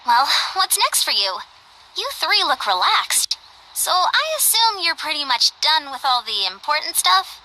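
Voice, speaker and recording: A woman's voice: high-pitched, sing-song, measured pace, sharp tone, slight background noise, heavily acted, poor audio. A woman voicing an upbeat, confident, athletic coach in an anime game, breezy and self-assured. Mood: friendly. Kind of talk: anime game character dialogue.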